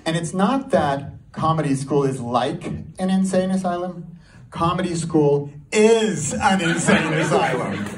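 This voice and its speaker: A man performing a stand-up comedy set, telling a story in an animated way and throwing rhetorical questions at the audience. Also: fairly high pitch, a little slow. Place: live comedy show before an audience. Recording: live room, distant mic, audience noise. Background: audience crowd and laughter.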